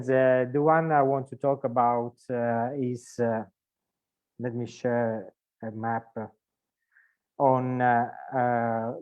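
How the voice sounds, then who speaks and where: Italian-accented man, flat halting delivery. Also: medium pitch, clear, resonant tone. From Sicily, Italy. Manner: halting, many filler pauses and restarts. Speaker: linguist presenting his work. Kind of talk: webinar presentation. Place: his home. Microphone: video call. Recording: dull lo-fi video call audio.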